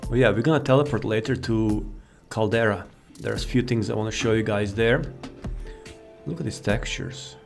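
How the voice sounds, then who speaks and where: A man's voice: lowish voice, moderate pace, vocal fry. A man giving casual, rambling commentary as he shows off a modded game. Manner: rambling, informal, easily sidetracked. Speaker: gaming video host. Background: faint game music.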